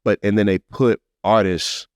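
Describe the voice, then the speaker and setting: A man with a very low voice hosts a casual podcast conversation. He speaks earnestly and emphatically in slangy phrases, often circling back to repeat himself. The sound is dry, with very little room on it. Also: fairly even intonation, normal speed, no echo. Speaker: podcast host.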